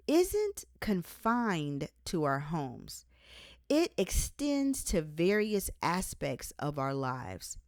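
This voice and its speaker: Female author-narrator for devotional nonfiction, slightly low register, measured and emphatic delivery, dry recording. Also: darkish tone, no room sound. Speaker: author reading their own book aloud.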